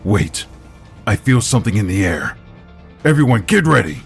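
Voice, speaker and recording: A man voicing a gruff, watchful soldier with a gravelly voice in a fantasy drama. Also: fairly low pitch, very animated, normal speed, faint music behind. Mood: grim, watchful. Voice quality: husky, gravelly.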